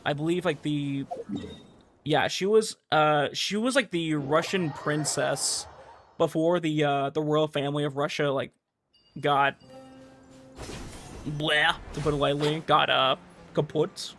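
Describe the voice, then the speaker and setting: A man with a fairly high-set voice talks to his livestream audience. He is conversational and rambles loosely from thought to thought. Soft music plays faintly behind him. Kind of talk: casual chat with stream viewers. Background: background music.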